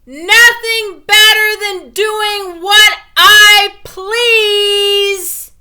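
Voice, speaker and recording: Loud, defiant little girl. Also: very high voice, fairly even intonation, very slow, pitched above the performer's own voice, full character voice.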